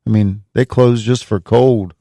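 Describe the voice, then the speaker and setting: A man's voice: on the low side, fairly even intonation, unhurried, dark tone, no echo. An American man of about forty, a comedian guest on a podcast, talking casually with a dry manner. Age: around 40. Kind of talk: casual chat. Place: small studio. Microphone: close studio mic.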